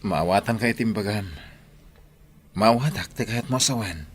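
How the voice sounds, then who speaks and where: Man playing patient ilocano boyfriend, fairly low voice, gentle radio drama, bit of noise behind. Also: normal speed, lightly acted. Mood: understanding. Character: calm, patient young boyfriend Rico. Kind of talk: Filipino radio comedy drama acting.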